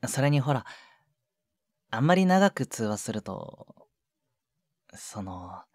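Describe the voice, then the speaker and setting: A man playing a shy junior boyfriend in an intimate ASMR voice drama, speaking softly and hesitantly with breathy murmurs and casual politeness. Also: mid-range voice, big pitch swings, takes it slowly, dry recording, studio quality, full character voice. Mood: gentle, reluctant. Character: shy younger junior boyfriend, awkward at showing affection. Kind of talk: intimate ASMR situation voice drama, one side of a phone call. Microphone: close, dry microphone.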